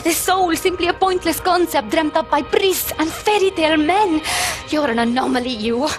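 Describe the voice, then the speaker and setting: Actress as high-pitched, scornful, unstable woman genius. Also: moderate pace, soft background music, natural voice. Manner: pedantic, clinical lecturing with contemptuous asides. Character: eccentric, unstable, brilliant woman, scornful and coldly logical. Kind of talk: video game voice acting.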